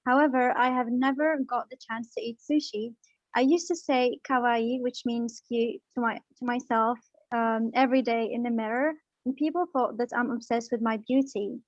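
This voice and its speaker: A woman student reads her playful, self-mocking paragraph over a video call. Her voice sits a little high and comes through dry and muffled, with the room giving nothing back. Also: fairly even intonation, moderate pace, no room sound. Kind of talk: reading her own writing aloud.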